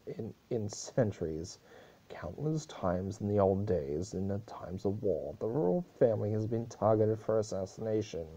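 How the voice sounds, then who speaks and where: A man plays a wrathful pony princess in a storybook reading. The delivery is solemn and declamatory, in a dark, gravelly voice pushed louder for stern authority. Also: fairly low pitch, highs cut off.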